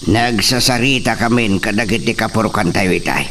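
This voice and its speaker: A man's voice: on the low side, moderate pace, fairly bright tone. Man acting a reassuring father, distant mic. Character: reassuring middle-aged peasant father. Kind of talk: audio soap drama acting. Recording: distant mic, faint background noise.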